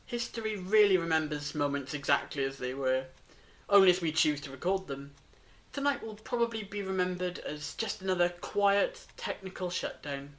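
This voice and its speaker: An actress plays an enigmatic, reassuring woman in an audio drama. Her voice sits on the low side. She speaks in a measured, philosophical way, calm and sure of what she knows. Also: lightly acted. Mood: reflective.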